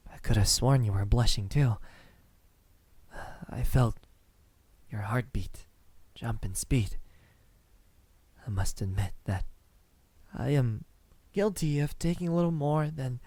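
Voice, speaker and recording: A man playing a courteous, lovestruck vampire in an intimate ASMR roleplay, speaking softly in formal words with hesitant pauses. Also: medium pitch, no room sound. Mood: teasing, amorous. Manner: hesitant, soft-spoken, formal wording with lingering pauses.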